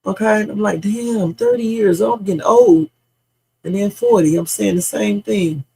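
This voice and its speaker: Gravelly, husky woman in her fifties rambling. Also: medium pitch, normal speed, warm tone, rough recording. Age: 50s. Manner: blunt, salty, meandering storyteller. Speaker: livestream host. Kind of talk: rambling casual livestream chat.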